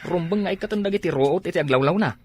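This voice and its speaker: A man's voice: high-pitched, rapid-fire, darkish tone, slightly rough. A man with a Filipino Ilocano accent playing a nagging yet idle village drinking buddy in an amateur comedy drama.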